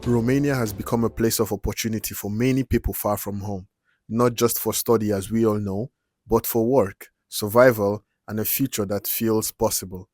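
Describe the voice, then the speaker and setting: A man reading a news feature narration in a measured, solemn way. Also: on the low side, fairly even intonation, darkish tone, no room sound, clean studio sound, soft background music. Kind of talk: scripted news report narration.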